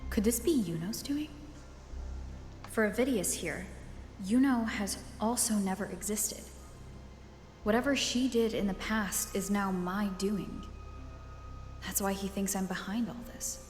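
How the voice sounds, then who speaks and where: Woman acting a calm heroine in echoing reverb. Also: medium pitch, measured pace, long pauses, faint music behind, close to an everyday voice. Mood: pensive. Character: calm, pensive young heroine adventurer. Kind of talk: video game voice acting.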